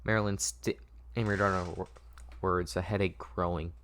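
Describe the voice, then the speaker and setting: A man's voice: low-pitched, steady tone, normal speed, a bit bright, slight background noise. A man narrating a scripted story informally at a table read, stumbling over the script as he goes.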